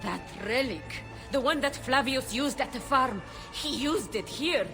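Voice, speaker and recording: A fierce woman leader in a video game. Her voice is on the high side and carries a weary, serious weight, with clear music playing behind it. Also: expressive, a little slow. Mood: horrified. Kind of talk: dramatic game acting. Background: prominent music.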